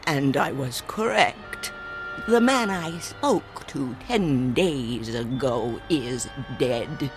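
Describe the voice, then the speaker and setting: A solemn old woman who tells fortunes in a radio drama. She speaks very slowly and deliberately, with a wide-swinging pitch and cryptic wisdom. Music plays clearly under her voice. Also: animated, exaggerated voice. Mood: solemn, wise. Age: older.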